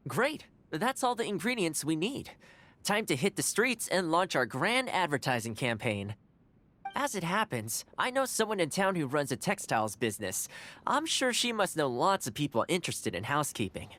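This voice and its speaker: An actor playing a gentle, courteous young man in a video game, speaking in a measured, encouraging way. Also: fairly high pitch, sing-song. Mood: eager. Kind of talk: scripted game character dialogue.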